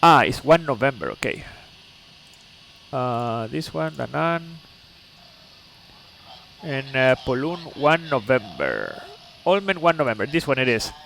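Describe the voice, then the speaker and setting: A man's voice: mid-range voice, big pitch swings, measured pace, gravelly, pauses often, fairly bright tone, slight background noise, rough recording. A man from Chile, speaking English, streams a flight sim live. He explains each step patiently, checks in with "okay?", and chats with viewers. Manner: chatty asides to viewers.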